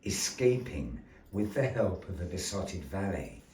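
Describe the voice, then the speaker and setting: A man tells a fairy-tale story for a puppet show in a slow, unhurried way, letting his voice swoop up and down to carry the drama. His voice sits a little low. It was recorded from across an echoey gallery room, with a faint hiss of noise behind it. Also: recorded from a distance. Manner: slow, theatrical storyteller with lively rises and falls. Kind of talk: storytelling narration to a live audience. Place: gallery space.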